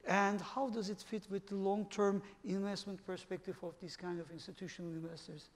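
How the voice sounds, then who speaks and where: A man with a Turkish accent leads a panel on a conference stage, pausing often with fillers. His voice sits high for a man and carries a long hall reverb. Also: steady tone, a little hiss or hum. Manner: halting, many fillers while reading figures. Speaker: panel moderator.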